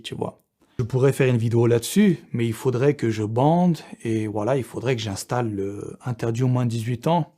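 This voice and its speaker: Animated young man with a husky, higher-pitched voice. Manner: joking, crude, prone to breaking into laughter. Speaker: online video creator. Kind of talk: casual, slangy live chat to followers.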